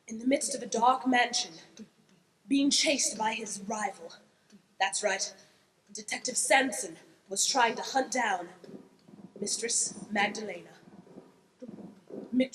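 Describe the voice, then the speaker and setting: Woman as slow, suspenseful melodrama narrator. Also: on the high side, lightly acted. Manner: hushed, slow, dramatic pauses. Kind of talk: improvised radio play narration. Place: theater stage before an audience. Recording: echoey, very noisy stage, distant mic.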